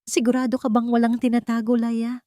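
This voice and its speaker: This is a woman playing a secretive husband in a story drama, short-tempered and defensive. The tone is dark and mellow, recorded very dry. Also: on the high side, little pitch movement, a little fast, no room sound, pitched above the performer's own voice, close to an everyday voice. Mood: irritated, threatening.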